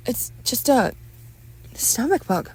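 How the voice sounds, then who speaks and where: A woman's voice: a little high, very animated, slow pace, a little mellow, slightly rough, no echo, voice pushed up, heavily acted, low-quality recording. A woman voicing a dutiful young man, a grown son, hesitant and placating in a dramatic script reading. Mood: reassuring, uneasy.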